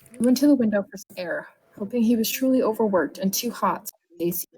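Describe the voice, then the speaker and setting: A woman's voice: on the high side, fast talker, warm tone, breathy edge, rough recording. A woman reading a written story aloud in a dramatic narrating style. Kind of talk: dramatic bedtime-story narration of a comic horror tale.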